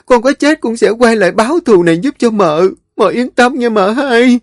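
A man playing a scared servant girl, very high whimpering voice, dry close sound. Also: expressive, normal speed, no echo. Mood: begging. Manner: whimpering begging. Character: frightened loyal young servant girl.

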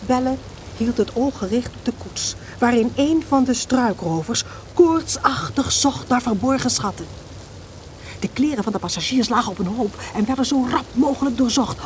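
A woman narrating a historical radio drama as an expressive storyteller, with dramatic, deliberate emphasis. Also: a little high, a little hiss or hum.

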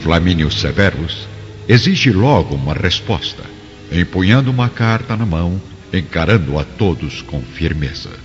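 A man's voice: deep voice, sing-song, normal speed, over music. A man narrating a radio drama as a solemn storyteller, florid and theatrical in delivery. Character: solemn storyteller of an ancient Roman saga. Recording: dull recording with long reverb.

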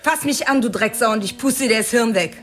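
Actress as bold young femme fatale, higher-pitched, cool, taunting, commanding, radio drama, faint music bed. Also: female voice, fairly quick, close to an everyday voice. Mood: menacing. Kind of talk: naturalistic German radio drama dialogue. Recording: faint music under the dialogue.

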